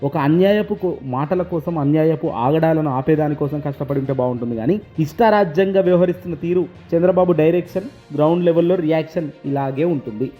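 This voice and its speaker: Fast, high-pitched male news commentator. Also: warm tone. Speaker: news presenter. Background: background music.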